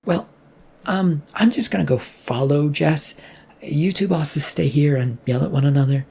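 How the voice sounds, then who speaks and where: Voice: mid-range voice, unhurried, creaky, warm tone, husky. A narrator voicing an exasperated female worker who mediates in a reasonable, pleading manner, in an audio story.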